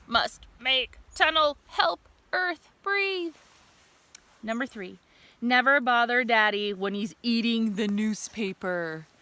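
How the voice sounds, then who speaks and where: A woman's voice: a little high, takes it slowly, pushed and bright, dry recording. A woman voicing a young, mischievous earthworm from a storybook, telling his diary with childlike earnestness. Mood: earnest. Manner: earnest, childlike, simple diary-style statements.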